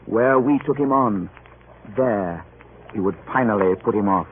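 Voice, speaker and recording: An actor playing a beleaguered, formal ship's captain in 1940s radio drama, speaking earnestly and deliberately. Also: male voice, on the low side, lightly acted. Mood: grave, weary. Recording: old narrowband radio recording with clear noise.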